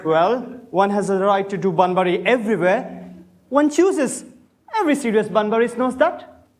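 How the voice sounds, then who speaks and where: A man's voice: high-pitched, moderate pace, natural voice. A young man playing a breezy, unrepentant Victorian dandy in a stage comedy, flippant and theatrical. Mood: smug, breezy. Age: young adult. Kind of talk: amateur stage play performance.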